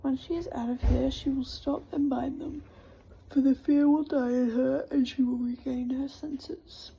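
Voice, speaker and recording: A woman whispering the lines of a calm, reassuring young man in a storybook reading. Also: high-pitched, unhurried, creaky, slight background noise, low-quality recording.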